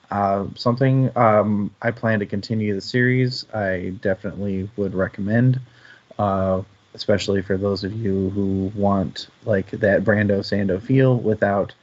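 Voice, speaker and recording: Higher-voiced man with flat delivery, dull audio. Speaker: book club show host. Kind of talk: casual book discussion chat. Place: live online stream.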